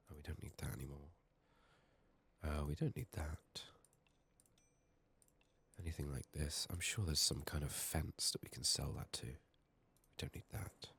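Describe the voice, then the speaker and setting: A man giving soft-spoken, sometimes whispered ASMR game commentary, muttering to himself in short, unhurried phrases close to the microphone. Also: very deep voice, creaky, husky. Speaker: video game player and streamer.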